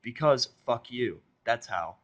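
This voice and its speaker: A man's voice: medium pitch, slow, dull audio. Sarcastic, foul-mouthed young human man acted by a narrator. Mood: rude, dry. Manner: dry, deadpan, swearing.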